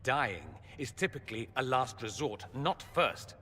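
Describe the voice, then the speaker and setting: Lively, sardonic fantasy mage. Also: male voice, medium pitch, a little slow. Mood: wry, sardonic. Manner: sardonic, articulate. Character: wry, urbane, sharp-tongued mage in fantasy game. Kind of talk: dramatic video game acting. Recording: long hall reverb.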